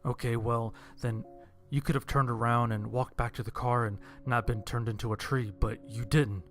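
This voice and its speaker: A man's voice: mid-range voice, fairly even intonation, moderate pace, faint music behind. A man reading a scripted story as its narrator.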